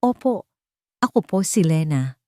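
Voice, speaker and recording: A woman's voice: low voice, big pitch swings, measured pace, darkish tone, dry recording, studio quality. A woman voicing a nervous, meek young housemaid who speaks politely and hesitantly in an audio storybook. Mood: forced cheer. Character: timid, nervous young housemaid trying to sound cheerful.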